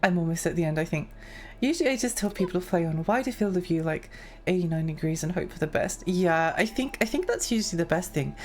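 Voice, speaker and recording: Chatty young woman streamer, slightly low voice. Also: lively intonation, fast talker. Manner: chatty, rambling, frequent restarts and giggles. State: losing her voice. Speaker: livestreamer reading chat. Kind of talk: casual chat with viewers.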